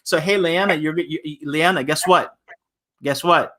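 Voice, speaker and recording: A man's voice: a little high, moderate pace, sharp tone. A man hosting a live children's story time as an imam, chatting in a playful, folksy, teacherly way. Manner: playful, folksy, teacherly with repeated "okay?" check-ins. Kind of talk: live children's read-along with casual chat.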